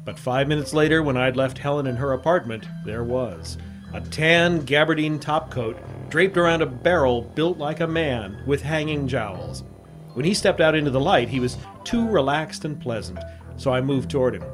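An actor playing a tough, dogged reporter in an old radio drama, terse and insistent in narration and dialogue. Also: male voice, mid-range voice, lively intonation, normal speed, music in the background, lightly acted. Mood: hard-boiled narrating. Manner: terse, hard-boiled, insistent. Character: tough, dogged male newspaper reporter O'Hara.

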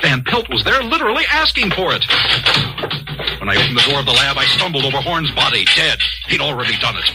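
An actor playing an ambitious, excitable army colonel telling his tale in a 1950s radio drama. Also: mid-range voice, brisk, natural voice, poor audio. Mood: dramatic narrating. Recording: old 1950s radio recording, music behind.

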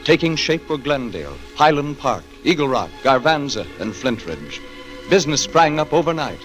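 A man's voice: medium pitch, sing-song, moderate pace, over music. A man reading scripted narration for a 1940s radio drama in a dramatic, declamatory manner.

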